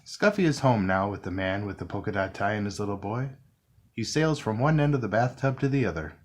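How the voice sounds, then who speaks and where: A man reading a children's picture book aloud, narrating gently and expressively. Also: fairly low pitch, lively intonation, fairly quick. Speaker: storybook reader. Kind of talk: children's read-along narration.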